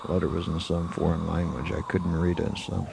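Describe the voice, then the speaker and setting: Casting: male actor as weary Western radio-drama marshal, very low and heavily creaky, slow, laconic delivery. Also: monotone. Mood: grave, weary. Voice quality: gravelly, creaky. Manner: slow, halting, deliberate delivery. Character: laconic, weary Old West frontier marshal. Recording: noisy, hissy 1980s amateur tape.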